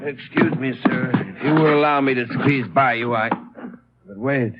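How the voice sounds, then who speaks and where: An actor playing a fat, courtly, self-satisfied shady traveler in an old radio detective drama, speaking in pompous, formal phrases. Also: male voice, mid-range voice, normal speed, over loud music, lightly acted. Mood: smug, courtly. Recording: dull, noisy old radio recording.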